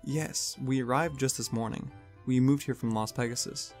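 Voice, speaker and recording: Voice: medium pitch, steady tone, normal speed, a little mellow, faint music behind, lightly acted. A storyteller voicing an anxious, polite young stallion pony in a fan fiction reading, hesitant and earnest. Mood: calm, gentle.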